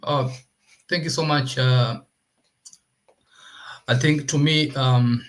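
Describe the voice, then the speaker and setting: A man with a Kenyan accent hosting an informal online interview, speaking haltingly and often repeating himself. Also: mid-range voice, fairly even intonation. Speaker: host of an online talk series.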